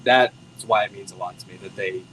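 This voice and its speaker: An American man, the band's drummer, speaking humbly and softly in a casual interview. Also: on the low side, brisk, slight background noise, rough recording. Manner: humble and grateful, soft-spoken. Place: bowling alley venue.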